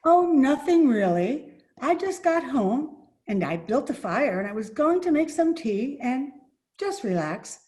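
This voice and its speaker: A woman playing a genteel former fiancée in 1940s radio comedy style, coy and gently chiding. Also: high-pitched, big pitch swings, a little slow. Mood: hospitable.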